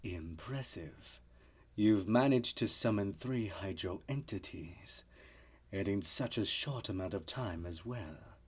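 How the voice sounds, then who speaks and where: A man playing a composed, formal magic mentor in a fan dub, speaking in a measured way. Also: fairly low pitch, lively intonation, husky, heavily acted.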